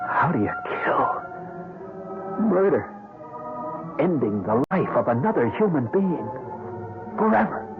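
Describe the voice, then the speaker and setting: Tormented husband on tinny vintage radio. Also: male voice, mid-range voice. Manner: theatrical, intense, brooding. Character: obsessed, vain middle-aged wealthy-wife's husband, tormented would-be killer. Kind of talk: old-time radio drama acting with first-person narration. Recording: tinny vintage radio broadcast, music behind.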